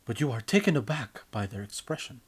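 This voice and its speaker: Husky man reading a story theatrically. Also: mid-range voice, sing-song. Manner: theatrical, emphatic, deliberate phrasing. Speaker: writer and reader of own story. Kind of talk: dramatic scripted story narration in second person.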